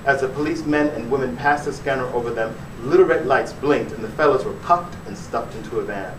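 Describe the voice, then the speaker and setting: A man's voice: mid-range voice, a little fast. A man, an author giving a dramatic reading of his fiction at a live bookstore event. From born in Puerto Rico, raised in the Bronx, New York. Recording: echoey room, distant mic, noisy.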